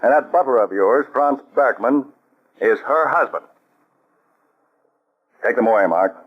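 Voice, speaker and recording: A calm commanding counterspy chief. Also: male voice, mid-range voice, unhurried, breathy edge. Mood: accusing. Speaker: lead agent. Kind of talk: 1940s radio acting. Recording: dull old radio broadcast.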